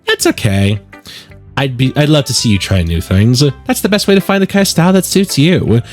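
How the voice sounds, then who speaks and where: A man's voice: mid-range voice, expressive, fairly quick, faint music behind, close to an everyday voice. A man voicing an upbeat, encouraging teenage schoolgirl club president in a visual novel, confident and reassuring.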